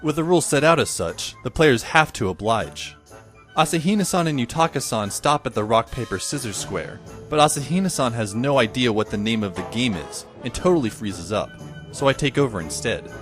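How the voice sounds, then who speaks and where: A man's voice: mid-range voice, expressive, a little fast, faint music behind, lightly acted. A man playing a wry, put-upon teenage boy, narrating in the first person for an audio drama. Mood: sardonic, weary.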